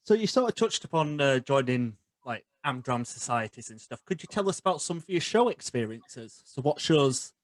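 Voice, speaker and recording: A man's voice: mid-range voice, lively intonation, normal speed, hardly any pauses, dry recording. A young man hosting a podcast, chatting casually and bantering informally with his guest. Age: young adult. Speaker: podcast host. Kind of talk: casual interview chat.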